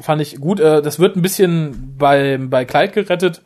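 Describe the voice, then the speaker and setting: A man co-hosting a casual podcast chat, talking eagerly with many restarts and quick laughs. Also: on the high side, a little mellow. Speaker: podcast co-host.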